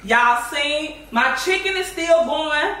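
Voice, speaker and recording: A woman's voice: high voice, expressive, slow, sharp tone. Playful woman cooking, roomy far-off sound. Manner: playful, chatty, sing-song exclamations, addresses viewers as y'all. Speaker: vlog host cooking at home. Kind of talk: casual cooking vlog talk to viewers. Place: large home kitchen. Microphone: far camera on tripod. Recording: roomy kitchen audio from a distant camera.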